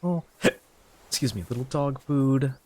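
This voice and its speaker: A man casually commenting while playing a game, gasping and jumping high in pitch when surprised. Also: takes it slowly, darkish tone, a little hiss or hum. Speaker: streamer. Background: game music.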